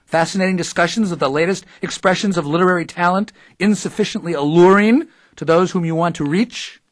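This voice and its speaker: A man's voice: high voice, brisk, no echo, studio quality, natural voice. A man playing a pompous, wordy intellectual radio interviewer in an audio drama, sarcastic and easily indignant. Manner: verbose, sarcastic.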